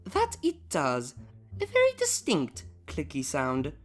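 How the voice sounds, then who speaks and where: A woman's voice: deep voice, wildly swooping pitch, slow pace, faint music behind, exaggerated voice. A woman playing a prim, formal female pony cellist with precise, drily menacing speech in a scripted comedy audio drama. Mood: icy composure.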